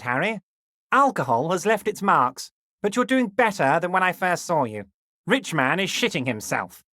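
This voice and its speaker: An actor playing a scheming, jovial middle-aged union boss in a video game, folksy, flattering and sly. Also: male voice, high-pitched, no echo, studio quality, heavily acted.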